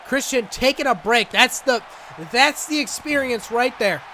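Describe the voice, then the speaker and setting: A young man doing excitable play-by-play commentary over a wrestling video game, coughing and saying he is losing his voice. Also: very high voice, unhurried, a little hiss or hum. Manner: excitable, rising to shouts at big moments. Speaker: gaming channel host and commentator.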